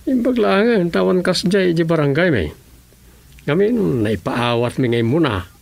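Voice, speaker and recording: A man's voice: on the high side, animated, normal speed, vocal fry. An actor with an Ilocano Filipino accent playing a grumbling village man in a radio comedy drama. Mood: concerned.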